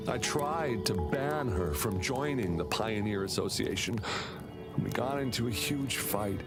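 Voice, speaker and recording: Man acting an anxious young brother over loud music. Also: mid-range voice, natural voice. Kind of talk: video game voice acting. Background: loud game music.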